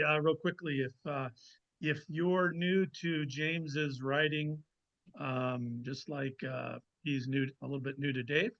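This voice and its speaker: A man's voice: medium pitch, pushed and bright. A man speaking as an author guest in a casual, conversational interview over a video call. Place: remote video call from a mountain cabin. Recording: slightly muffled video call audio.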